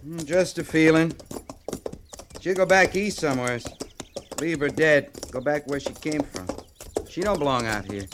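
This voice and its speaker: An actor playing a plainspoken, soft-hearted cavalry sergeant, folksy and deferential, in a radio western. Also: male voice, fairly high pitch, unhurried, long pauses, close to an everyday voice. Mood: quietly insistent. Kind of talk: 1950s radio western acting. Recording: noisy old radio recording.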